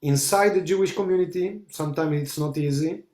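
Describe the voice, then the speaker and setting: A man, a rabbi talking in a halting way, stopping often to search for words. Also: on the high side, a little mellow, echoey room. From Argentina. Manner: halting, searching for words, many fillers and restarts. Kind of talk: conversational discussion, switching between English and Portuguese.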